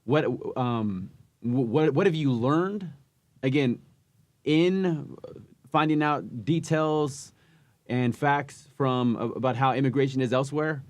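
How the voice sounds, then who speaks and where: A man hosting a podcast, talking in a halting way with many restarts as he searches for words. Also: mid-range voice, no room sound. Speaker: podcast host. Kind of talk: casual podcast interview.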